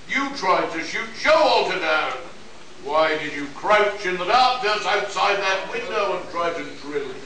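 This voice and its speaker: A man's voice: high voice, pushed and bright, full character voice. A man playing a combative, challenging sci-fi adventure hero in an amateur radio play. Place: live stage performance at a convention. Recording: distant echoey hall, slight noise.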